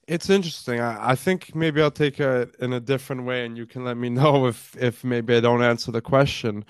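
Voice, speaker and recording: A young man in his twenties with a deep voice, answering interview questions in a fluent, storytelling way with frequent fillers. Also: fairly even intonation, fairly quick, clean tone, dry recording. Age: 20s. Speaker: startup founder guest. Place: based in Canada.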